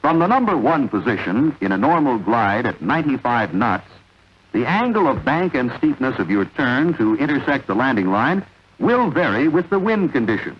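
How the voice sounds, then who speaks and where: Husky male training-film narrator, lo-fi. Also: mid-range voice, big pitch swings. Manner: measured, authoritative, didactic. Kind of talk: scripted instructional narration, vintage military training film. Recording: dull, lo-fi vintage film soundtrack.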